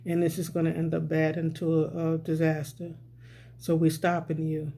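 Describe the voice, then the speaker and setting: A man's voice: a little high, completely flat delivery, normal speed, gravelly, dark tone, slight background noise. A man vlogging a rambling, casual social commentary, often repeating "you know what I'm saying.".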